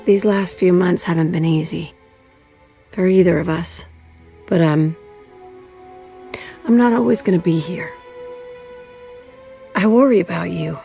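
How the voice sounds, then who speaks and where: Gravelly-edged, low-voiced worried mother. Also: a little slow, pauses often, soft background music. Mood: tender, worried. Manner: gentle but firm, motherly. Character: loving, firm, worried mother of a young boy. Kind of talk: animated game drama acting.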